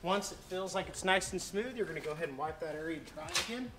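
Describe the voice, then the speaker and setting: A relaxed man in his thirties walks through a hands-on boat repair, his tone easygoing and folksy. His voice sits a little high for a man. The camera mic is set some distance off in a big showroom, with a steady hiss of noise underneath. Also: fast. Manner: easygoing, folksy instructional talk. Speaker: boat dealer and channel host. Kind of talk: casual how-to demonstration.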